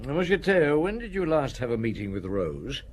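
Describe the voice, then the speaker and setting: An actor playing a calm, unhurried chief police inspector, measured and questioning, in a radio drama. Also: male voice, mid-range voice. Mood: probing.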